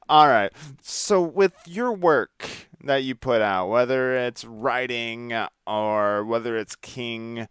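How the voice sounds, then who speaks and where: Laid-back guy podcast host, super smooth clear voice, dry close sound, casual chat. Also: medium pitch, takes it slowly, a bit bright, no room sound.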